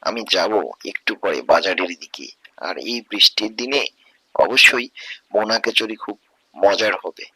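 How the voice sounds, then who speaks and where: A caring, poor village father in a cartoon tale, played by a man. He has a forceful, bright voice that is a little low, with an earnest manner. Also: normal speed, dry recording. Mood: caring, reassuring. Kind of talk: Bengali cartoon story dubbing.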